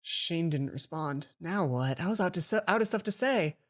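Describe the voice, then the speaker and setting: A woman reading a visual novel aloud for a let's play, expressive, with casual asides of her own. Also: lowish voice, moderate pace. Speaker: let's player.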